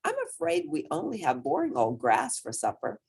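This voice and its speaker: A kindly storybook hippo mum, voiced by a woman reader. She is playful and gently teasing. Her voice lilts across a very wide range of pitch. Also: wildly swooping pitch, normal speed, gravelly, breathy edge, exaggerated voice. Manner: kind, playful. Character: kindly mother hippo, playfully pretending not to know.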